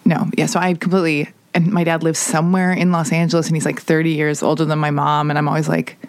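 Lower-voiced woman, casual candid podcast host. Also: flat delivery, fast talker, no room sound. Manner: rambling, confiding, teasing. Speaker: podcast host interviewing a guest.

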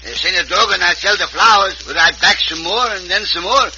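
A man's voice: sits high, fairly quick, vocal fry, bright, forceful tone, highs cut off, full character voice. A man playing a simple, muddled Mexican sidekick with a Mexican accent and broken English in an old radio western. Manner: broken English, repeats himself. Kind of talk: 1950s radio western comic acting. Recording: noisy, band-limited old radio recording.

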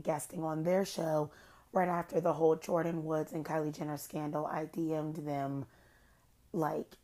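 A young adult woman hosting her own podcast, hoarse from losing her voice, chatting in a rambling, gossipy way. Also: darkish tone. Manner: chatty, rambling, self-described low-key shady. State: hoarse, has lost her voice. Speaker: podcast host. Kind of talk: casual solo podcast chat.

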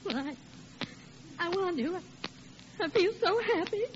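An actress playing a patient, sentimental wife and mother in a 1940s radio comedy, with an American accent and an expressive manner. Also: extremely high pitch, heavily acted. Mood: tearfully touched. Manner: expressive, unhurried, emotional. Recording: muffled, noisy old radio recording.

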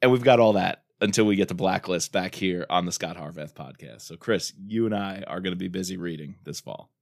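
A man co-hosts a book podcast in a lowish voice. He banters with the guest and briskly lists what is coming up. The sound is close and dry. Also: gravelly, a little mellow, no echo. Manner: upbeat, joking, runs through lists. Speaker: podcast co-host. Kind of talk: casual podcast chat.

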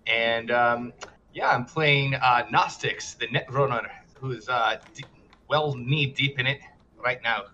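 A man player introducing himself slowly and haltingly, with many ums. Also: medium pitch, sharp tone. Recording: dull lo-fi mic with faint noise.

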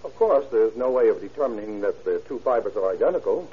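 An actor playing a careful police lab chemist who explains deliberately with hesitant murmurs in an old-time radio drama. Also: male voice, on the low side, expressive, husky. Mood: careful, explanatory. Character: careful, unhurried male police laboratory chemist. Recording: dull, noisy vintage radio recording.

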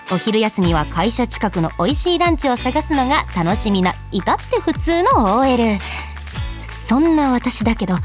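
Radio drama heroine, played by a woman: twenties office worker, high, very expressive, bubbly, quick to retort. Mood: bright, confiding. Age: 20s. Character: cheerful 24-year-old female office worker, secretly psychic. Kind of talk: radio drama acting and first-person narration.

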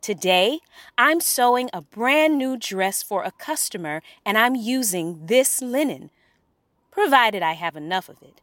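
A woman's voice: a little high, animated, a little slow, fairly bright tone, no echo, clean studio sound. A woman playing a kind, poor village dressmaker and mother in a children's story, welcoming and earnest. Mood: friendly, chatty. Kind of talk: children's story podcast character acting.

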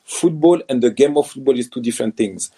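A man's voice: mid-range voice, brisk, warm tone, slightly rough. A man from France with a French accent, a former professional footballer answering interview questions in a hesitant way, with many restarts and fillers.

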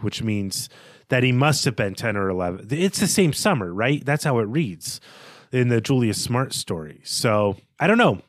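A man's voice: lowish voice, no room sound, studio quality. A man co-hosting a podcast, discussing literature in an analytical but informal conversational way. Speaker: podcast co-host.

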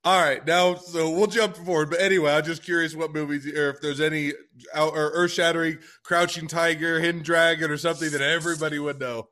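A man's voice: a little high, level tone, fairly quick, bright, forceful tone. A man hosting a podcast interview, introducing his guest and asking loose, self-correcting questions. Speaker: podcast host.

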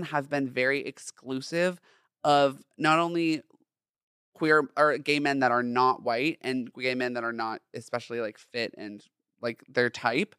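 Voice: mid-range voice, fairly bright tone, smooth, dry recording, clean studio sound. A podcast host in their twenties with a feminine-sounding voice, talking casually and playfully in chatty, slangy, emphatic monologue. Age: 20s.

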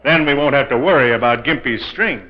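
An actor playing an eager, trusting husband in a 1940s radio thriller, with a hearty, excitable, theatrical manner. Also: male voice, mid-range voice, brisk, over loud music, natural voice. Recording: dull vintage 1940s radio, long reverb.

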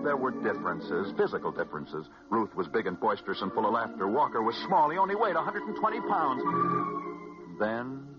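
An American man telling stories as a 1940s radio host, scripted and dramatic, with emphatic repetition and weighty pauses. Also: on the low side. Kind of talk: 1940s radio sports storytelling, scripted narration. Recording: muffled vintage 1940s radio recording. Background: music.

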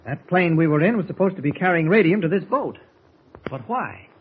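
An actor playing a calm, shrewd reporter hero in 1940s radio serial style, confident and mentor-like as he reasons. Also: on the high side, a little fast, husky, narrow, tinny audio. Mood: calm, reasoning. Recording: thin, band-limited old radio recording.

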